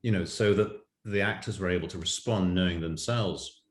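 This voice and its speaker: A man's voice: low voice, normal speed. A man explaining his production work as a co-director in an interview, precise and detailed. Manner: detailed, precise, lists examples. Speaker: audio drama writer and co-director. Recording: roomy echo.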